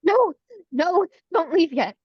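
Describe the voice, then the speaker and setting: A woman's voice: very high voice, big pitch swings, slow pace, dull audio, exaggerated voice. A woman playing a desperate, vengeful young beauty queen in a horror script table read, speaking with urgency.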